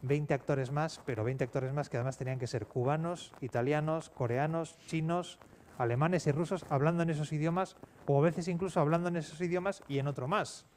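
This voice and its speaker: A man talks quickly in a soft, mellow voice as he speaks informally on a panel. There is faint noise of a live event behind him. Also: a little high. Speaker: panelist, audio drama writer. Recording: noisy live event room.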